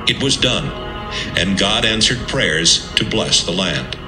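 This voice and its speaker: A man narrating an audio Bible from a script in a measured, serious manner. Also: lowish voice, expressive. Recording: roomy sound with music underneath.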